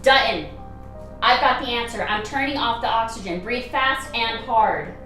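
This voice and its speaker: A woman's voice: a little high, moderate pace, pushed and bright. A woman acting a driven, impatient doctor in a radio play, insistent and curt. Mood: excited, certain. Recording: roomy, distant mic, music underneath.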